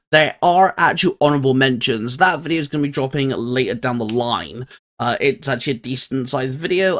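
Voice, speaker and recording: A man with a medium-pitched voice hosts a casual debate about geeky matters. He talks informally and freely, giving his opinions in a chatty way. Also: fairly bright tone. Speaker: video host.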